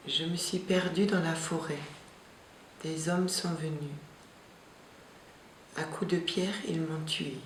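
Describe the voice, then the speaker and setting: A slow, flat stage voice gives a lost woman telling how she was killed, as a woman reads the part. The pitch barely moves. The sound is roomy, with a faint hum behind it. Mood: solemn. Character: a lost woman telling of her own death in a forest. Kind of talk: stage reading.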